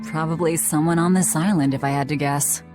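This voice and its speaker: Woman acting a young video game fighter, tough, dry, terse, low-pitched, musical backing. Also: fairly even intonation, fairly quick, over music, natural voice. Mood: brisk.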